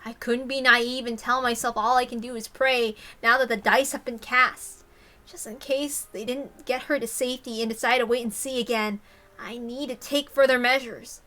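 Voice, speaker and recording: A woman's voice: on the high side, fairly even intonation, moderate pace, pushed and bright. A woman reading a story aloud expressively, with casual commentary of her own. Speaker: reader and commentator.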